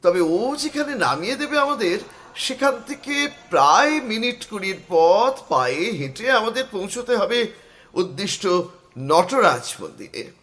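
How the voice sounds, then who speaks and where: An actor playing a cheerful young male art student who narrates the story in an expressive, literary audio-drama style. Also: high voice, unhurried, exaggerated voice. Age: young adult.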